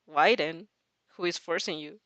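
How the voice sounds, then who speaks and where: A woman's voice: fairly low pitch, unhurried, fairly bright tone, no room sound, highs cut off, voice pushed down. A woman voicing a suspicious, teasing young male villain in a story reading, with a drawling manner.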